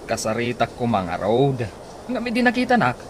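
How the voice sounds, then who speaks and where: An actor playing a rural village neighbour in an Ilocano comedy radio drama. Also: male voice, mid-range voice, huge pitch swings, moderate pace. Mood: chatty. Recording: noisy track with birds and roosters.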